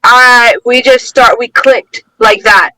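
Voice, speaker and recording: A woman's voice: on the high side, fairly even intonation, slow pace, bright, forceful tone, no echo, rough recording. A woman guest on a podcast, telling a personal story in a rambling, emotional way. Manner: rambling, emotional, fillers and restarts, acts out quotes. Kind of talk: casual storytelling in interview.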